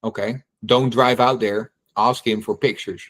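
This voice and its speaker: A middle-aged man with a Dutch accent talks through real estate questions in a lively, chatty way. He acts out little quotes and keeps checking in with "right?", restarting his sentences as he goes. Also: mid-range voice, a little slow. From Netherlands. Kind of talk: casual Q&A talk.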